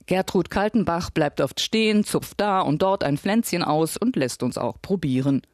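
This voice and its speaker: A man reading scripted documentary voice-over narration in a measured, even manner. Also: on the high side, dry recording, studio quality.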